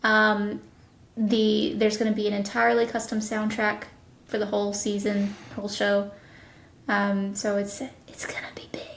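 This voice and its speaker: A woman's voice: mid-range voice, monotone, moderate pace. A woman chatting casually as a guest in an interview about creative production work. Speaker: audio drama writer and producer, interview guest. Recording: echoey, roomy sound.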